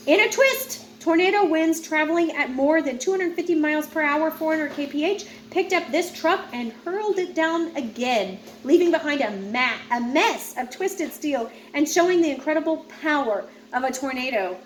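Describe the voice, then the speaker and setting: High-pitched woman reading aloud. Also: expressive, moderate pace, few pauses, slight background noise. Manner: informal, stumbles over words and corrects herself. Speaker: read-aloud presenter. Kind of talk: reading a nonfiction book aloud with casual asides.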